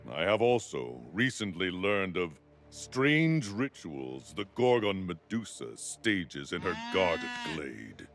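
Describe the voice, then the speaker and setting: A man playing a huge, proud, ancient Minotaur in a video game, declaiming slowly and grandly with a gravelly voice. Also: low-pitched, sing-song, a bit bright, heavily acted. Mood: proud, solemn. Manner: grandiose, slow theatrical declamation. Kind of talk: video game character dialogue. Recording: game music under the voice.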